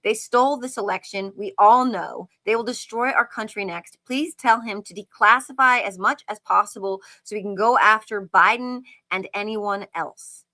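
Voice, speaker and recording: A woman co-hosts a live talk with a bright, forceful voice at an ordinary pitch. She reads aloud and comments in a conversational style. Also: moderate pace. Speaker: co-host reading texts and commenting.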